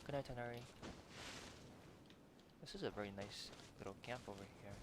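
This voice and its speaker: A man's voice: mid-range voice, brisk, very noisy. A man streaming a game, chatting and reading the player's lines aloud with side remarks. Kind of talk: casual game commentary. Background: game music.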